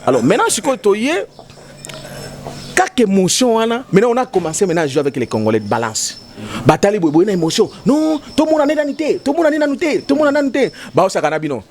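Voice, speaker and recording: A man's voice: high-pitched, very animated, fast, a little mellow. A man in a heated political debate, talking emphatically and repeating himself, mixing French with Lingala. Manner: emphatic, repetitive, switching between French and Lingala. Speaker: political commentator.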